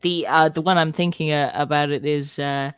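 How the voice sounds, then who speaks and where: A woman with a very low voice chats slowly and easily as a guest on a writing stream. Her tone is smooth and clear, and she fills the pauses with soft, agreeing murmurs. The sound is close and dry, with no room around it. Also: no echo. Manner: relaxed, lots of soft agreeing "yeah"s. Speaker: guest writer. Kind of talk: casual chat.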